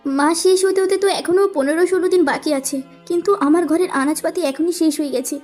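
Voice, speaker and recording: A woman's voice: very high voice, fairly quick, darkish tone, soft background music. A woman voicing a poor, proud widowed mother in a cartoon, pleading and indignant. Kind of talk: Bengali cartoon voice acting.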